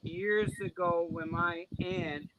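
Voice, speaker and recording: A man's voice: high-pitched, steady tone, slow, lo-fi sound, noisy background. An older man chatting as a guest, plain and matter-of-fact. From Canada. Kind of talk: casual chat.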